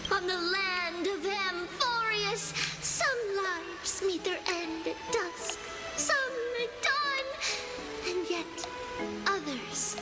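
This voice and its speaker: A very high, slow-paced young noblewoman for a fantasy game, speaks like a poet reciting legend. Her ornate, theatrical phrases ring in a long reverb with music behind them. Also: exaggerated voice. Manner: measured, ornate, dramatic delivery. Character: elegant, poetic young noblewoman telling a grand legend.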